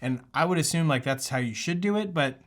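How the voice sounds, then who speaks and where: A man's voice: on the high side, animated, brisk. Encouraging, conversational man giving advice. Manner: conversational, earnest, reassuring. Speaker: pilot and aviation tutorial host. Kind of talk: casual, encouraging advice talk to camera.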